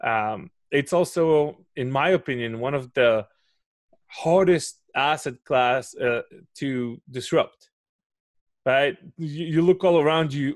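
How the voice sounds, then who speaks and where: A man's voice: medium pitch, no room sound. Man with israeli accent, guest on video call, explanatory, ends points with right?, dry sound. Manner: explanatory, makes a point then asks "right?". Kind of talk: interview answers. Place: home office. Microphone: headset with studio mic on a video call.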